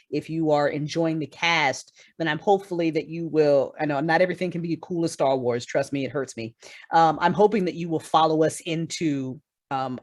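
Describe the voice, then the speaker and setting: A woman chatting casually as a podcast guest, effusive and swearing freely as she talks about her creative work. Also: low-pitched. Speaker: guest, writer and creator of an audio drama.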